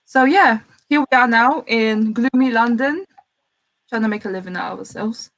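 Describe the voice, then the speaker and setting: A woman's voice: on the high side, moderate pace, a bit bright, rough recording. A woman in her twenties from Greece, a guest chatting casually and laughing often. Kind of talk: casual chat.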